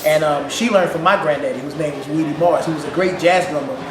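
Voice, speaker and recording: A man's voice: a little high, fast. A man born in Ghana and raised in St. Louis with a St. Louis accent, a percussionist chatting animatedly as an interview guest. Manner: animated, laughing, repeats words. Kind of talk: casual interview. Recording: echoey room with loud background noise.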